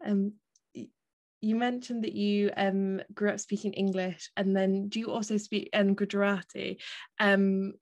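A woman's voice: mid-range voice, level tone, a little slow. A woman interviewing a guest for a festival, asking long questions with frequent hesitations. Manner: hesitant, many fillers, warm laughter.

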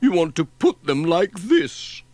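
Man as bossy village spinster, high-pitched, forceful, scolding manner, storybook character. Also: big pitch swings, a little slow, dry recording, exaggerated voice. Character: brisk, bossy, cross village spinster lady.

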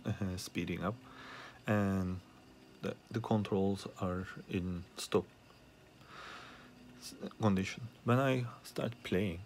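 A man with a lowish, fry-edged voice talks through a repair problem without a script. His delivery is very unhurried and hesitant, broken by frequent pauses, with music faintly present behind him. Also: slight background noise. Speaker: hobbyist repairer asking viewers for help. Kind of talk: unscripted troubleshooting demonstration. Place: small indoor workspace at a repair bench.

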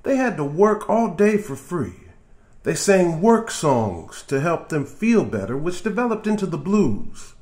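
A man voicing an excited, curious young boy in a storybook, with an eager delivery. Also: high-pitched, unhurried, voice pushed up.

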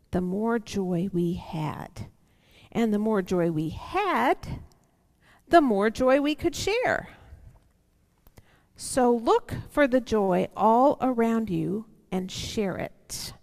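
A middle-aged woman reading a picture book aloud to children, expressive and lingering on words, with conversational asides. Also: on the high side, drawn-out words. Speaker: church storyteller for children. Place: large church sanctuary, seated indoors. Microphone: headset microphone. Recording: church hall reverb.

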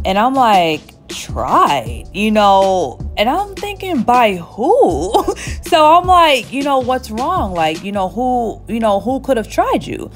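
Chatty salon-owner woman, 30s, lively storyteller, faint music behind. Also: medium pitch. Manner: chatty, animated storytelling with frequent laughs. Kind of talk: casual storytime while getting a wig installed. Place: small indoor hair salon. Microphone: camera at chest height, seated facing it.

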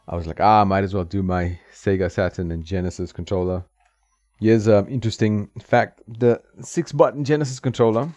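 A man's voice: lowish voice, darkish tone, dry recording. A man streaming retro games, chatting casually and thinking aloud with lots of ums and small laughs. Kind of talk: casual gameplay commentary. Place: home streaming setup. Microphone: desk mic.

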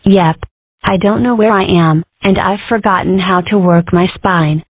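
A woman's voice: medium pitch, unhurried, slightly rough, no room sound. A woman voicing a scheming, bossy young fox girl in a comic strip dub, with a dramatic way of talking. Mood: deadpan. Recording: muffled narrow phone-like sound.

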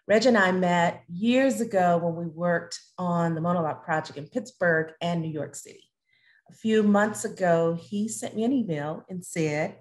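A middle-aged woman hosting a talkback interview on a video call from a room at home. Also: on the low side. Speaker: host, theatre artistic director.

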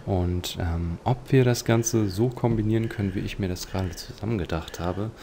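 A man giving reflective, slightly formal game commentary, pausing hesitantly as he thinks aloud. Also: lowish voice, mellow, slight background noise. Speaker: let's player.

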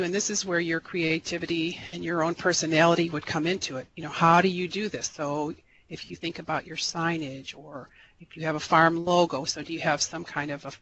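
A man giving a recorded slideshow lecture as an extension educator, relaxed and rambling, with many fillers and restarts. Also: a little high, dull audio, slight background noise. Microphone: voice-over for slides.

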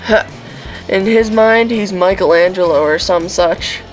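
Casting: woman as folksy waitress, mid pitch, wry and friendly, audio drama, soft music behind. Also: a little slow, close to an everyday voice, low-quality recording. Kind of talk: audio drama acting, folksy small talk.

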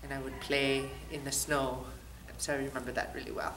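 Low-pitched woman in a studio interview, joking around, mic kinda far with faint hiss. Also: level tone, brisk, a bit bright. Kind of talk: short interview answers. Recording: distant mic with faint noise.

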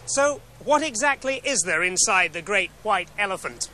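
A man in his thirties with an English accent giving a scripted television report in a wry, measured way with deliberate pauses. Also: sits high, expressive, pushed and bright, low-quality recording. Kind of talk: scripted investigative report, to camera and voice-over. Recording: 1970s TV film sound, background noise.

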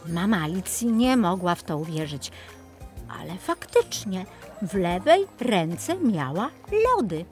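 A woman narrates a children's fairy tale in a lowish voice with very lively swings of intonation. Her delivery is expressive and scripted, with music softly behind. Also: unhurried.

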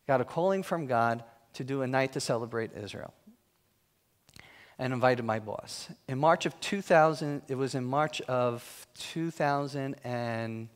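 A man giving a talk in a church hall, telling humorous, self-mocking stories about his work. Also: mid-range voice. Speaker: guest speaker. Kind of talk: storytelling talk.

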